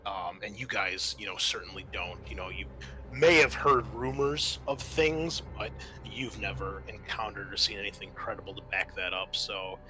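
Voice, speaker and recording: A man working as game master, improvising narration for a tabletop roleplaying game in a halting, thinking-aloud way. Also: on the low side, steady tone, sharp tone, soft background music, poor audio.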